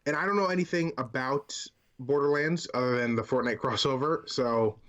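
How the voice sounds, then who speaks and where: A man co-hosting a casual podcast about movies and games, in relaxed conversational talk. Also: medium pitch, moderate pace. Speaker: podcast co-host. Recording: slightly dull, lo-fi microphone sound.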